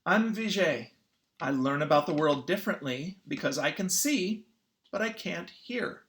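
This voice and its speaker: Someone reading a children's picture book aloud in an expressive, teacherly way. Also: medium pitch, unhurried. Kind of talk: children's read-aloud narration.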